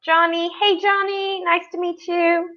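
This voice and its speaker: A woman's voice: extremely high pitch, level tone, unhurried. A woman from Pakistan explaining things informally as a teacher in an online lesson, often rephrasing as she goes, over dull lo-fi call audio. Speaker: English teacher co-hosting a webinar.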